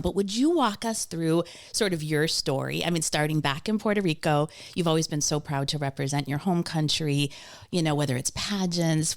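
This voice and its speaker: A woman co-hosting a podcast in casual chat, upbeat and hostly. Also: low-pitched. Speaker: podcast co-host.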